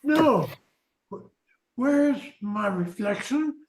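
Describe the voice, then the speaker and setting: Very slow, swooning vain youth, played by a woman. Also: mid-range voice, lively intonation, reverberant, heavy background noise. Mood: anguished. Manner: melodramatic, swooning. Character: vain, lovesick, self-adoring beautiful young man. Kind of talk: amateur radio comedy acting.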